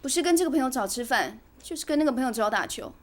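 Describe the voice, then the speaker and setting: A woman's voice: high voice, expressive, rapid-fire. A woman acting in a TV drama as an aggrieved, confrontational young wife and mother, with a Taiwanese accent. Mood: accusing. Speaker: actress in a family drama.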